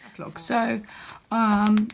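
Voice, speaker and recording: A woman with a croaky voice, chatting casually as she walks through a crafting catalogue, with lots of ums and restarts. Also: mid-range voice, completely flat delivery, measured pace, low-quality recording. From United Kingdom. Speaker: crafting demonstrator. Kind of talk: casual product walkthrough.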